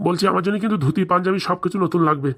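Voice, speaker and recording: A man's voice: high voice, very fast, fairly bright tone. Comic cartoon part: man as deferring, penny-watching older dad, racing speech. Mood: hopeful, trusting. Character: older village father, thrifty, trusting wedding host. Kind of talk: comedy cartoon dialogue.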